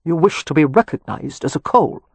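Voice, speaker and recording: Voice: medium pitch, expressive, fairly quick, a little mellow, husky, no echo. A reader voicing a proud, imperious old Irish earl with an Anglo-Irish accent, who speaks in a cold, commanding and dismissive way. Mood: haughty. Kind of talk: audiobook character reading.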